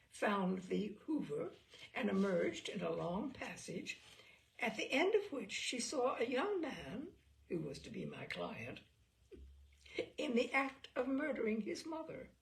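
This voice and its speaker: A woman's voice: on the high side, gravelly. A woman reading a story aloud with dry, wry storytelling. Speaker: reader of stories.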